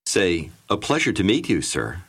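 A man narrating a language course in a clear, measured instructional way. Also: low-pitched, sing-song, a bit bright. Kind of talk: scripted language-lesson narration.

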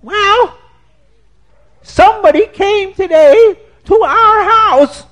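A man voicing a shrill, panicky woman who shrieks warnings in a drawn-out way. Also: fairly bright tone, full character voice. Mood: anxious. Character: shrill frantic woman, a fierce man's wife.

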